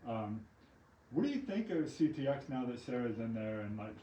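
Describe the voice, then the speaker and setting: A man with an Oklahoma accent hosting a casual live local news chat, relaxed and chatty, often laughing. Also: medium pitch, moderate pace. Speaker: local news show host. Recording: roomy sound, mic at a distance, faint background hum.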